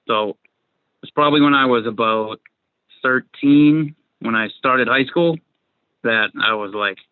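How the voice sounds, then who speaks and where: A man's voice: mid-range voice, slow pace, pauses often, clear, resonant tone, no echo. A young man from Massachusetts with a Massachusetts accent, chatting casually as a guest over a video call, rambling and repeating his words. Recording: dry tinny video call audio.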